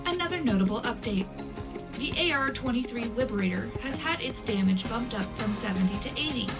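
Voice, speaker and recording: A female wartime news presenter, played by a woman, delivers a scripted military bulletin. Her delivery is measured and official, sitting at an average pitch for a woman. Music plays clearly behind her. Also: lightly acted. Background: loud music bed.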